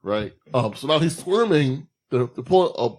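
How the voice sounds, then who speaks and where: A man's voice: medium pitch, sing-song, normal speed, darkish tone. A man co-hosting a movie podcast, explaining the plot step by step in casual chat. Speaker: podcast co-host.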